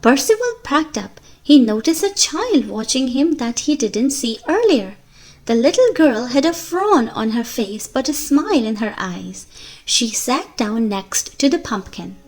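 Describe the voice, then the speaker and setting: Female storyteller for kids' read-aloud, high register, very varied intonation, unhurried delivery. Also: faint music behind. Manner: slow, animated storytelling for young children. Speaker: children's story reader. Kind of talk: expressive picture-book read-aloud.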